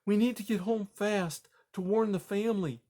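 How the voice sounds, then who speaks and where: A man voicing a calm elderly grandmother mouse who speaks softly and matter-of-factly. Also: sits high, normal speed, pitched above the performer's own voice. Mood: gentle. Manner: soft, gentle, matter-of-fact.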